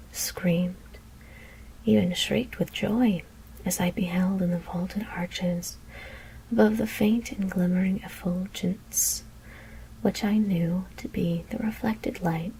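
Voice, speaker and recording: Woman, ASMR horror narration, hushed whisper, very slow pace, creaky edge, faint background noise. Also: lowish voice, fairly even intonation, rough recording. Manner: hushed, deliberate, mostly whispering. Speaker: narrator of ASMR scary stories.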